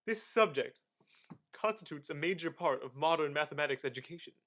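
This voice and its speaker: A man giving a mock-dramatic scripted reading in a theatrical manner, with halting pauses and swings between hushed and loud delivery. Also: a little high, animated. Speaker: reader.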